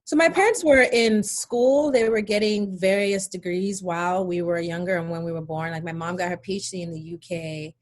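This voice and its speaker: A woman's voice: on the low side, steady tone, normal speed, hardly any pauses. A middle-aged woman from Nigeria, born in England, chatting casually and telling stories as an interview guest. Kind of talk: casual conversational storytelling.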